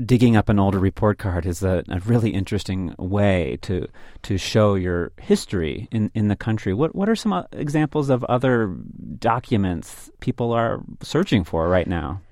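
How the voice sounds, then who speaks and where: A man with a fairly low voice hosts a public radio show, reading his script in a steady, measured way. The studio sound is close and dry, with no room echo. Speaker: radio host. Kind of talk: public radio reporting and interviewing. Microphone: studio microphone.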